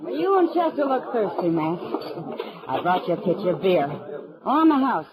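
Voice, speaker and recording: A woman's voice: medium pitch, very animated, normal speed, poor audio. An American woman acting as a worldly, familiar, easygoing saloon woman in an old-time radio western. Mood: breezy. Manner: familiar, breezy, knowing. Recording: old radio drama, saloon crowd behind.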